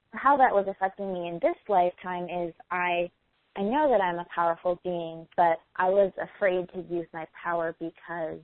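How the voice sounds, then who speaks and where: An earnest woman answers interview questions in a casual, halting way and often starts her phrases over. Her voice sits fairly low. The line is thin and phone-like, with a dry sound. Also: dry recording. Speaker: brand designer and guest.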